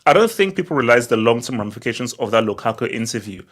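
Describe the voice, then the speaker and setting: A man's voice: medium pitch, very animated, fast, a bit bright. A man hosting a casual football discussion, bantering and often repeating words, with some stuttering. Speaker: host of a football discussion show. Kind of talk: casual animated group banter.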